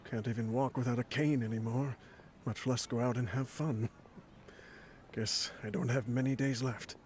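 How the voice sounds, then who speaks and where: A man's voice: mid-range voice, steady tone, moderate pace, a little mellow, slight background noise, lightly acted. A man playing an ailing old mercenary leader in a video game, wry, boastful and fatherly as he voices a letter. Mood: wry, reflective. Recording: game music behind the voice.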